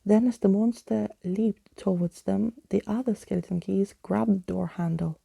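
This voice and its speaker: A woman reading a bedtime story in a soft ASMR whisper, close to the microphone. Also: medium pitch, fairly even intonation, normal speed, vocal fry, slightly rough, no echo. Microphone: close microphone, dry.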